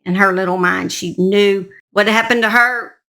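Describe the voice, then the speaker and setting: A woman's voice: mid-range voice, fairly even intonation, moderate pace, pushed and bright. A woman host narrating a true crime story in an informal, plain-spoken, conversational way, speaking straight to her listeners.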